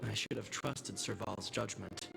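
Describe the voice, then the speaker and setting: A man's voice: on the low side, fairly even intonation, unhurried, vocal fry. A man playing a polite, dutiful guard officer in a fantasy game, speaking formally and sincerely. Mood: apologetic. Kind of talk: scripted fantasy game dialogue. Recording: game audio with loud music underneath. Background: prominent game soundtrack music.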